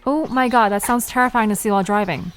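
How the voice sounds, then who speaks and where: A woman playing a curious, friendly young park visitor in an audio drama, inquisitive and expressive in a conversational way. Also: fairly high pitch, quick pace, lightly acted.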